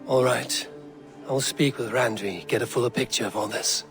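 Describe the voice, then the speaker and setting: Man acting Viking leader, medium pitch, stoic, measured, plain-spoken, game score underneath. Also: fairly bright tone, faint music behind, natural voice. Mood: businesslike. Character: stoic Viking clan leader, male warrior, steady and resolute.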